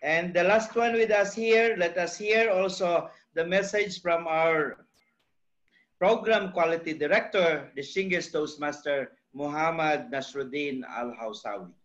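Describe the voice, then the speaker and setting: A man's voice: a little high, unhurried, fairly bright tone. A man serving as district director, formally handing over speakers at an online meeting with frequent fillers. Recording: muffled lo-fi video call audio.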